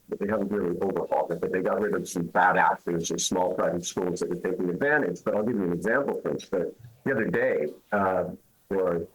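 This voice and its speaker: A man's voice: fairly low pitch, a bit bright, breathy edge, rough recording. A man from Canada, an immigration lawyer, answering interview questions in an emphatic, arguing way with rhetorical questions.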